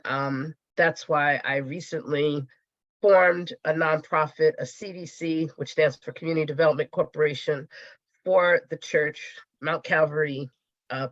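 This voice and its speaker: A woman calling in to introduce herself, her voice stuffy and congested from a cold. She speaks in a slightly halting way with fillers, and the sound is dull and dry with no room to it. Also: sits low, no room sound. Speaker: caller, grant writer. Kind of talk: casual self-introduction on a call.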